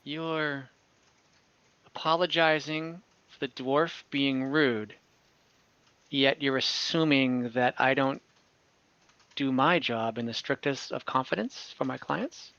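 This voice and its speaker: A man's voice: mid-range voice, takes it slowly, pauses often, pushed and bright, smooth, no echo, lo-fi sound. A man voicing a prim, guarded town clerk. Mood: offended.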